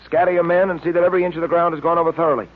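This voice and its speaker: A man's voice: fairly high pitch, steady tone, very fast, close to an everyday voice. A man playing an upright, earnest police detective in old radio drama style, speaking in a firm, clipped, fatherly way. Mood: businesslike. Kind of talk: 1940s radio acting. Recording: tinny noisy old radio recording.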